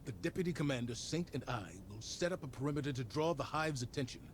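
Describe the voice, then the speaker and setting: An actor playing a steadfast sci-fi commander in a video game, speaking in a firm, formal, authoritative way. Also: male voice, medium pitch, expressive, quick pace, slight background noise, close to an everyday voice. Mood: firm, focused. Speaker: military commander. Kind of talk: dramatic game voice acting.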